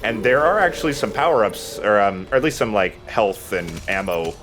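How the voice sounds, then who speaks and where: A man's voice: on the low side, big pitch swings, normal speed. A man giving casual, sweary commentary while he plays a video game. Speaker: let's play host playing the game. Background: game music.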